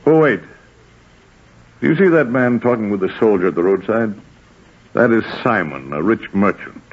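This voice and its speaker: An actor playing an impatient, haughty Roman census official in a 1940s radio drama, curt and officious. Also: male voice, fairly low pitch, animated, normal speed, husky. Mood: curt, softening. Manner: curt, officious, world-weary. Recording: dull, muffled 1940s radio recording.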